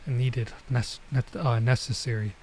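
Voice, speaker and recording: A man doing casual let's play commentary as a streamer, informal and halting as he reads and mutters through translations. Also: mid-range voice, steady tone, a little mellow, a little hiss or hum. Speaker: streamer playing a visual novel.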